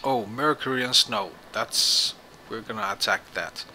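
A young man from Finland with a Finnish accent, speaking English as a second language, gives halting let's play commentary, searching for words. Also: lowish voice, sharp tone. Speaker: gamer. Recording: home mic with game noise behind.

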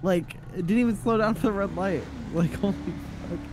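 A man's voice: high voice, normal speed, background noise. A man chatting casually and jokingly as a fellow player in an online police roleplay game. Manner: informal, joking. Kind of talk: casual gaming chat.

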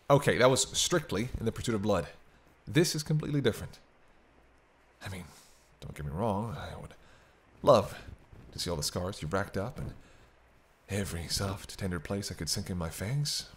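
A man's voice: fairly low pitch, husky. A man playing a sardonic, teasing vampire in an intimate roleplay, talking in a conversational, bantering way with dry sarcasm. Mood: exasperated, fussing, then teasing, seductive. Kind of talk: intimate audio roleplay monologue. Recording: steady hiss and rain behind. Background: rain.

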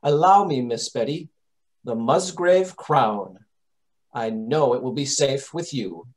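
A man playing a shrewd, composed English gentleman detective in old-time radio mystery style, formal and precise. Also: medium pitch, expressive, slow. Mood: composed, pointed.

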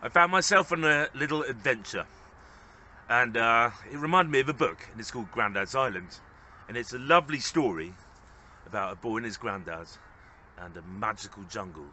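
A man in his thirties narrating a children's story expressively, with measured, gently dramatic phrasing. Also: medium pitch, slight background noise. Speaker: storybook reader.

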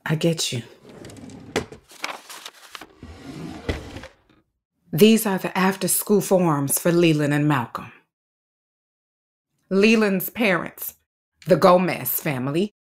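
A woman playing a dedicated, weary third-grade teacher in an audio drama, earnest and deliberate, leaning on repetition. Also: fairly low pitch, animated. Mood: resigned, firm. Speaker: playwright and actor.

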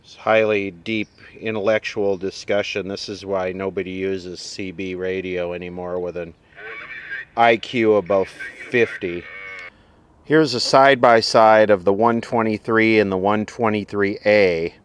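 A man's voice: lowish voice, steady tone, measured pace, clean tone. A man giving a casual, unscripted hands-on demonstration as a video host, dry and wry in manner. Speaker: video host and radio repair hobbyist.

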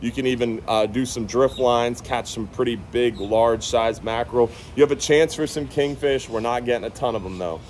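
A man's voice: mid-range voice, moderate pace, hardly any pauses, darkish tone, a little hiss or hum. A man in his thirties hosting a casual fishing report outdoors on a beach, speaking into a phone camera at arm's length, upbeat and repeating words for emphasis. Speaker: marina fishing report host. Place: outdoors on a sunny beach by a bridge.

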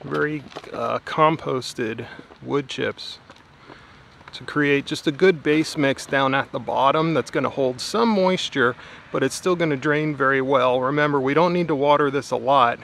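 Guy in his 30s rambling about his garden outside, a bit gravelly, faint traffic hum behind. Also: medium pitch, measured pace, a bit bright. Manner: chatty, thinking aloud, mild worry. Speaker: home gardener vlogger. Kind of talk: casual garden vlog. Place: outdoors in a backyard garden. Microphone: camera held at arm's length, outdoors.

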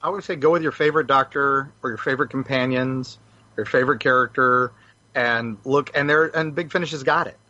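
A middle-aged man with a bright, forceful voice at average pitch chats casually on a panel. The sound is very dry and a little dull. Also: steady tone, a little fast, dry recording. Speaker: podcast panelist. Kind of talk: casual chat. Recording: dull, lo-fi audio.

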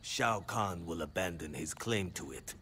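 An actor playing a sly, scheming sorcerer in a video game, with a gravelly voice and formal, deliberate delivery. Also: male voice, deep voice, animated, pushed and bright, slight background noise, heavily acted. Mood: sly, persuasive. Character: sly male sorcerer, scheming servant of an emperor. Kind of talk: video game cutscene acting.

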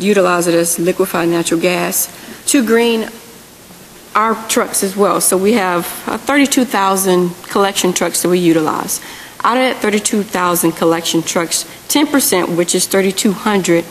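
A woman in her thirties presenting on a policy panel into a table microphone in a large hearing room, earnest and correcting herself as she goes. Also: on the low side. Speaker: panel speaker. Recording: long hall reverb, faint hiss.

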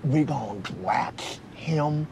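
A cocky slangy plane passenger, mid voice, noisy clip audio. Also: male voice, medium pitch, animated, takes it slowly, creaky, a little mellow. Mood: boastful. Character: boastful male passenger, sidekick of the first. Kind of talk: sketch comedy acting.

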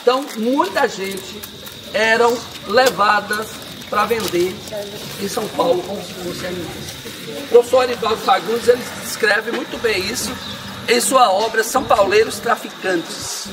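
A Brazilian man with a notably high voice explains local history to a crowd standing in an open square. He sounds far from the camera, and steady outdoor noise runs under his lecturing tone. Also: normal speed. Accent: Brazilian Portuguese. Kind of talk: outdoor history talk to a group. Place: sunny town square outdoors. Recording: distant outdoor voice with background noise.

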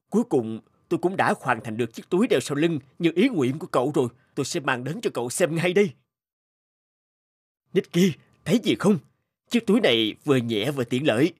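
A man's voice: on the high side, expressive, a little fast, husky, dry recording, clean studio sound. A man voicing an earnest, devoted mountain climber in a cartoon, speaking emotively. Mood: proud, eager. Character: earnest adult male mountain climber, guilt-ridden and devoted friend. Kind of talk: dubbed cartoon acting. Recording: loud music over the voice.

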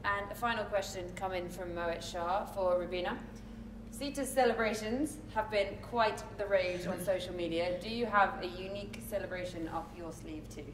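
A woman's voice: mid-range voice, moderate pace. A woman moderating a sports press conference, asking casual interview questions. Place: indoor press conference room. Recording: echoey room, distant mic, faint noise.

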